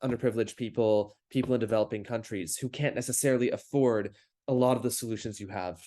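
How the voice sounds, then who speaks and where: A young man with a middle-pitched voice and an American accent, raised in Paris, talks as a guest on a casual podcast. He is articulate and reflective and builds his thoughts into long, flowing answers. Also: steady tone, quick pace, warm tone. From France, grew up in Paris. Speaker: podcast guest, startup founder. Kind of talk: casual conversational interview.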